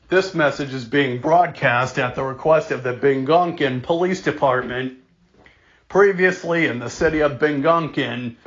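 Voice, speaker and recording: A man playing a formal, grave emergency alert announcer, reading scripted warnings in a deliberate, measured way. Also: mid-range voice, a bit bright, close to an everyday voice. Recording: dull lo-fi audio.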